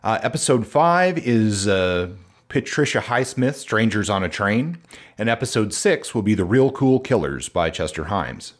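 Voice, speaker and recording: A man with a very low, deep voice hosts a podcast conversation. He talks in a relaxed, easygoing way and pauses often on fillers. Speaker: podcast host.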